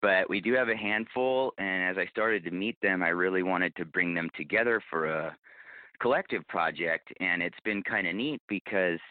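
Low-voiced man on a phone-like line. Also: dry recording. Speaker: podcast guest, podcast creator and bookstore owner. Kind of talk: conversational interview answers.

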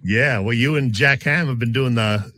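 Mid-pitched American man co-hosting sports talk. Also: normal speed, bright, forceful tone, a little hiss or hum. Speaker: sports show co-host. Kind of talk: conversational sports interviewing.

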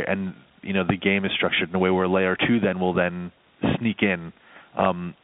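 A low-pitched man comes through a muffled, phone-like line. He talks casually as an interview guest, explaining things in a loose, meandering way with plenty of "you know". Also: no echo. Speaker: film and game composer, interview guest.

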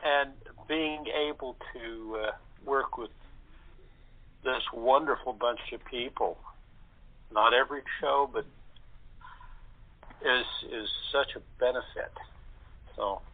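Mature man, unhurried speech, husky voice, earnest halting delivery, casual guest chat, tinny phone audio. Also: mid-range voice. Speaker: radio-play performer, guest. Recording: tinny telephone line.

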